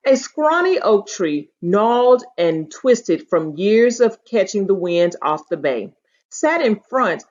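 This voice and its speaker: A woman teacher reading a story aloud in an expressive, emphatic storytelling style, pausing to share her thoughts. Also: fairly low pitch. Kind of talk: expressive story read-aloud with think-aloud commentary. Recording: dull, lo-fi audio.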